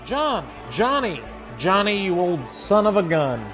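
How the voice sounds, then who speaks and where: An actor playing a cheery, breezy brother and one-time pilot in a radio drama. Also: male voice, sits high, big pitch swings, a little slow, over music. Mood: delighted.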